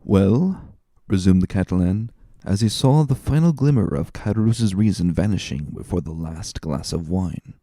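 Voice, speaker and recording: A man's voice: deep voice, normal speed, hardly any pauses, mellow, no room sound, studio quality. A man giving a scripted dramatic audiobook narration of a classic story.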